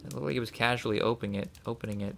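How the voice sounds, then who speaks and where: A man casually commenting on a game as he plays, thinking aloud and reading the notes he finds out loud. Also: medium pitch, racing, creaky, slight background noise. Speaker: let's play host.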